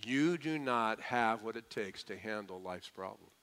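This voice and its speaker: A man preaching a sermon, lively and conversational, slowing down hard on key phrases and slipping into quick mock voices of doubters. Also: fairly low pitch, poor audio. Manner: lively preaching, mimics skeptics, slows for emphasis. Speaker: pastor.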